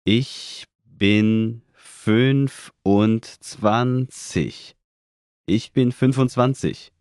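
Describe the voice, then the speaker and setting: A man reading words for a language lesson, saying each one twice, slowly and deliberately. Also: mid-range voice, steady tone, a little mellow, clear, resonant tone, no room sound, clean studio sound. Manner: says each word twice, slow and deliberate. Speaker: German pronunciation speaker. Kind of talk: slow pronunciation drills.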